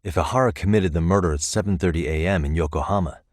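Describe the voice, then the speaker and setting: An American man voicing a level-headed, thoughtful detective in a video game, with measured, articulate delivery as he reasons aloud. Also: deep voice, no echo, studio quality. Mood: explaining, focused. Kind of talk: scripted game dialogue.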